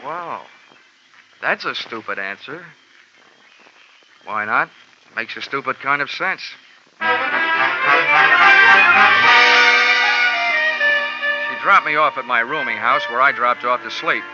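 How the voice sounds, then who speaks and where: A man's voice: mid-range voice, lively intonation, measured pace, long pauses, lightly acted. Man playing hard-boiled sardonic radio private investigator. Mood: wry narration. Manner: sardonic, wry, clipped tough-guy talk. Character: cynical wisecracking male insurance investigator, hard-boiled and world-weary. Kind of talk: 1940s radio acting and first-person narration. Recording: dull old radio recording with music.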